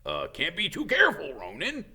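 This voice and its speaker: A man voicing a gruff, burly gamekeeper in a storybook, kindly but wary, with folksy, hesitant, ungrammatical speech. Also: fairly high pitch, huge pitch swings, normal speed, pushed and bright, voice pushed up, exaggerated voice. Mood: relieved, friendly.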